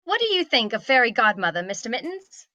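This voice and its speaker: A woman's voice: fairly high pitch, very animated, fairly quick, pushed and bright, dry recording, highs cut off. A woman playing a haughty, bossy, petulant young princess in a comedic script read, with an imperious, commanding manner. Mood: curious.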